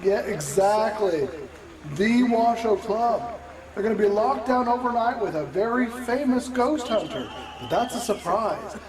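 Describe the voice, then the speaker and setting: A man's voice: high-pitched, animated, unhurried, distant mic, crowd noise, low-quality recording. Man playing a chatty puppet convention host. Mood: cheerily official. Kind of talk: improvised puppet comedy announcements. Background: music.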